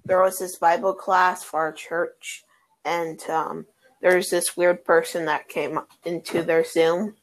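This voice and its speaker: A woman co-hosting a casual podcast, giving brief, hesitant answers with many pauses. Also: fairly low pitch, little pitch movement, poor audio. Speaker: podcast co-host.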